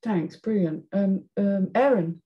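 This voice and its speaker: A woman chairing a panel and giving measured, explanatory feedback in a group discussion. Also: mid-range voice, muffled recording. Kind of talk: spoken critique in a group discussion.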